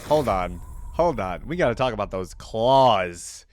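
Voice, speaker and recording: A man's voice: lowish voice, animated, unhurried, background noise. A man hosting a reaction video, giving casual, rambling commentary as he thinks aloud and checks in with the viewer. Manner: rambling, thinking aloud, seeks agreement with "right?".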